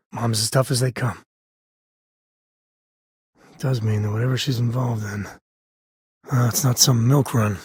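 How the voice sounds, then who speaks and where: A man playing an easygoing space-frontier ranger and devoted dad in a video game, with a husky, slightly rough voice and a folksy, wry manner. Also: medium pitch, a little mellow, dry recording, studio quality. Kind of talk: video game character dialogue.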